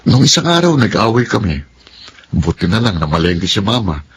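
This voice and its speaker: A man's voice: on the low side, very animated, moderate pace, slight background noise. Man playing a frustrated young husband. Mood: rueful. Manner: complaining, exasperated. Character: young husband Nathan, 30, frustrated, wants independence. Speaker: husband in radio drama. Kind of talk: Filipino radio drama acting.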